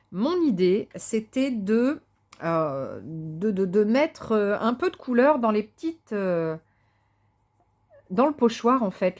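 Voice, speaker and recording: A woman vlogger chatting casually while she crafts, thinking aloud with many fillers and restarts, enthusiastic and sometimes muttering to herself. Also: mid-range voice. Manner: enthusiastic about colours; talks to herself softly while working.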